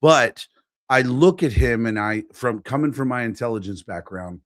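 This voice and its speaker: A man's voice: mid-range voice, normal speed, dry recording, clean studio sound. A man from Philadelphia, a guest on a talk show, giving his opinions frankly and with emphasis. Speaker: podcast guest. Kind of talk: casual political chat.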